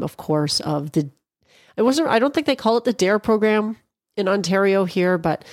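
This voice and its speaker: A woman from Canada talks through her solo podcast in a loose, rambling way, often restarting her sentences. Her voice sits fairly low and sounds a little stuffed up and nasal. The recording is dry and close to the mic. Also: a little mellow, no room sound. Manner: chatty and rambling, with frequent restarts. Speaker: podcast host. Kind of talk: casual solo podcast monologue.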